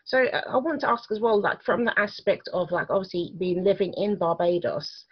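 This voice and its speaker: A woman's voice: mid-range voice, moderate pace, husky, no echo, dull audio. A woman hosting a podcast interview, chatty and rambling as she asks questions. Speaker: podcast host. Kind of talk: casual interview talk.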